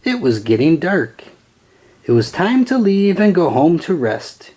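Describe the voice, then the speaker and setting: A man reading a children's storybook aloud with an expressive, sing-song storytelling delivery. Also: a little high, a little slow, husky. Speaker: storybook reader.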